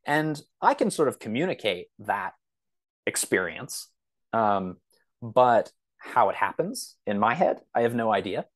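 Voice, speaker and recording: A man's voice: on the low side, sing-song, unhurried. A man speaking as a podcast guest, casual and thoughtful, exploring ideas tentatively and often rephrasing. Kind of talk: casual, thoughtful interview talk.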